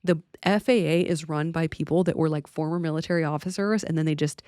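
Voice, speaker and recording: An American woman with a low voice talks straight to her viewers in a casual vlog style. She is blunt and colloquial, leaning hard on her points, and the sound is close and dry. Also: fairly even intonation, fast, darkish tone, clear, resonant tone, dry recording. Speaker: aviation vlogger and pilot. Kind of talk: casual opinion commentary to viewers.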